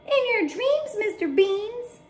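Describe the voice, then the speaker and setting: A woman's voice: very high-pitched, very animated, takes it slowly, pitched above the performer's own voice, heavily acted. Woman playing a stern, bossy mama cat. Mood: scolding. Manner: bossy, scolding. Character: stern mother cat scolding her kitten.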